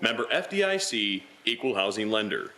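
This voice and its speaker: A man's voice: lowish voice, very animated, moderate pace, sharp tone. A man reading a scripted radio commercial as an announcer. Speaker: advertisement announcer.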